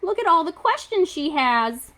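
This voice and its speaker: A woman reading a children's picture book aloud as a storytime host, with expressive, dramatic delivery and playful emphasis. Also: very high-pitched, moderate pace.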